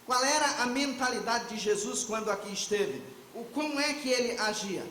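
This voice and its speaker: A man's voice: extremely high pitch, expressive, normal speed, a bit bright, echoey room, slight background noise. A man giving a theological lecture at a conference, reflective and posing questions to the audience before answering them himself. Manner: reflective, asks and answers his own questions. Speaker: conference speaker.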